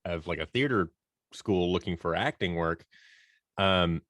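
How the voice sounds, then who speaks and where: A man's voice: low-pitched, a little slow, fairly bright tone, dry recording, studio quality. A man in his thirties answering as a podcast guest in a casual, rambling, self-deprecating way, often repeating words. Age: 30s. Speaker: podcast guest, audio drama producer and performer. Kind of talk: casual conversational interview answers.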